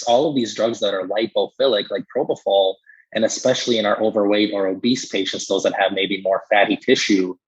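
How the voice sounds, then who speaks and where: A man's voice: fairly low pitch, breathy edge, dull audio. An American man giving a conversational medical explanation in an informal, explanatory manner, like a podcast expert.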